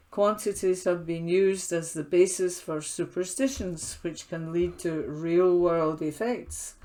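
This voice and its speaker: A woman reads a written feature article aloud at a very unhurried pace. Her voice sits a little low, and she records as a volunteer newspaper reader from home. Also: a little mellow.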